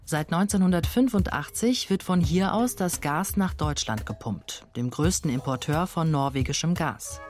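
A man giving calm, measured, scripted narration for a documentary report. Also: a little high.

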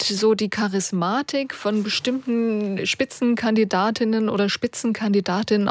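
A woman hosting a radio call-in show, brisk and guiding, handing questions between callers and the expert. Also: fairly high pitch, dry recording, studio quality. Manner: brisk, guiding, short interjections. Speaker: radio host moderator.

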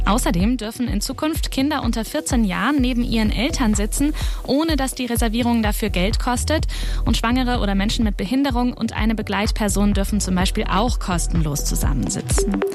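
A woman presenting radio news in plain, conversational language. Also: medium pitch. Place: radio studio. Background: faint music bed.

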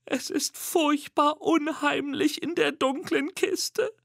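A man voicing a tiny, frightened yellow monster with a high, squeaky voice, stammering and backpedaling as he reads a children's storybook aloud. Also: steady tone, slow, gravelly, dry recording, studio quality, full character voice. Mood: pleading. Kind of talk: storybook reading.

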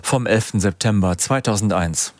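A man presenting in a radio studio, reading scripted news links in a measured, formal way. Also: lowish voice, over loud music.

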